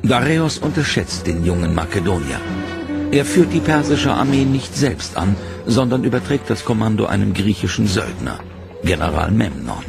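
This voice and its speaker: A man giving scripted, measured documentary narration in a dramatic storytelling manner, over a music bed. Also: on the low side, big pitch swings, gravelly. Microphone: studio voice-over mic.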